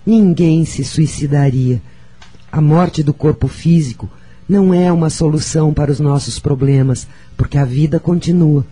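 An actress playing a gentle, motherly Brazilian woman spirit, reflecting solemnly in a radio drama monologue. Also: deep voice, slightly rough. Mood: solemn, compassionate. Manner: solemn, reminiscing. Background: background music.

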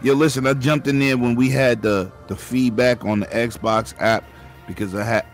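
An American man bantering casually in brash, animated, streetwise slang. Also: medium pitch, normal speed, soft background music. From United States.

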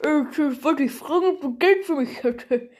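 A woman's voice: sits high, normal speed, a little mellow, close to an everyday voice. A woman voicing a crazy old miser, a giggly, cheeky prank caller in a homemade audio play.

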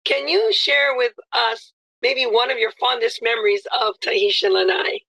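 A woman's voice: fairly high pitch, normal speed, sharp tone, poor audio. A woman hosting an interview, effusive and complimentary, with many fillers. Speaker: interview host. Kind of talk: friendly interview chat.

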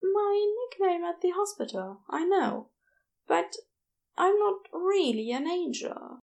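A woman voicing a shy, gentle, easily flustered man in an audio story, hesitant, polite and apologetic. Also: very high-pitched, very animated, takes it slowly, creaky, pitched above the performer's own voice, full character voice. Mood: bashful. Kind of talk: audiobook character dialogue.

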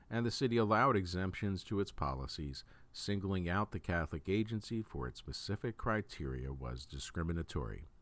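Low-voiced man reading the news. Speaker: news reader. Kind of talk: news articles read aloud.

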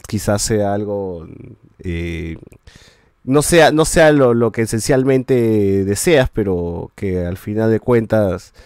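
A man's voice: on the low side, lively intonation, slow, a little mellow, clear, resonant tone, dry recording, studio quality. A man, hosting a podcast and reviewing shows in casual chat, rambling with many restarts and a habit of ending sentences with a questioning tag.

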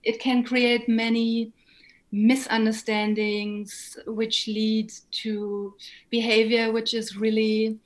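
A German-accented woman, a native German speaker, answering interview questions in English with thoughtful, measured explanations. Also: on the high side, flat delivery. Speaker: guest, musician and language coach. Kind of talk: conversational interview.

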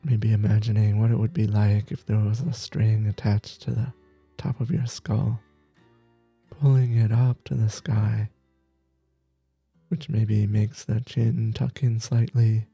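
A man leading a guided meditation as teacher, gentle and soothing, with soft breathy phrases that trail off between long pauses. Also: lowish voice, level tone, faint music behind. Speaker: meditation teacher, podcast host.

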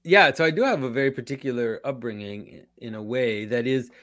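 Middle-aged quebec guy with a french canadian accent, thoughtful rambling answers, dead dry close mic, mid pitch. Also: fairly quick, a bit bright, no room sound. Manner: reflective, fluent storytelling with frequent restarts. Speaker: podcast guest. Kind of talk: casual interview talk.

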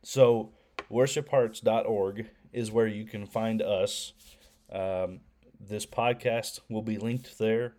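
A man's voice: lowish voice, fairly even intonation, slow pace, a little mellow. Nasal man with a head cold. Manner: hesitant, many ums and restarts. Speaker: podcast co-host. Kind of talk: casual podcast chat.

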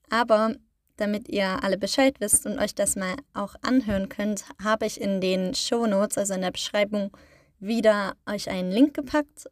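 A woman's voice: medium pitch, darkish tone, no echo, clean studio sound. A woman hosting a podcast, explaining in a casual way, often rephrasing herself and using fillers. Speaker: podcast host.

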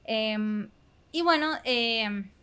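Young Venezuelan woman hosting a personal podcast, talkative and open, pitched a little high. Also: extremely slow, clear, resonant tone. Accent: Venezuelan Spanish. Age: 20s. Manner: candid, chatty, with frequent fillers and soft laughs. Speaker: podcast host. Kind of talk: casual personal monologue.

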